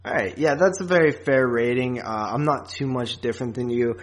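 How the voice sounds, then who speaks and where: A man's voice: mid-range voice, fairly quick. Casual man hosting a film podcast. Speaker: podcast host. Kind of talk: casual film review chat.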